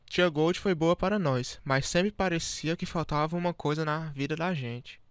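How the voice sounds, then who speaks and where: An actor voices a young Brazilian man, the wondering kid brother in a radio drama. His voice is notably high and very clear. He sounds eager to learn. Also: normal speed, natural voice. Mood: curious, wistful.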